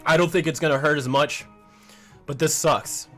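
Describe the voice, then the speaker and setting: A man's voice: fairly high pitch, fairly even intonation, moderate pace, a bit bright, soft background music. A man co-hosting a livestream with casual, jokey, exclamatory banter. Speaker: livestream co-host.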